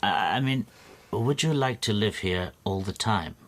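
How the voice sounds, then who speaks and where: An actor in a radio drama playing a meek, anxious middle-aged bachelor, speaking hesitantly and confidingly. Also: male voice, fairly low pitch, animated, a little slow, slight background noise. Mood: nervous, hesitant. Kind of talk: radio drama acting with intimate first-person narration.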